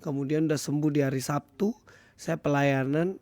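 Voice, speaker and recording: A hoarse man with an Indonesian accent, giving casual commentary to his viewers as a video host. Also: a little high, fairly even intonation, normal speed, darkish tone, no echo. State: hoarse, recovering from illness. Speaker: YouTube host.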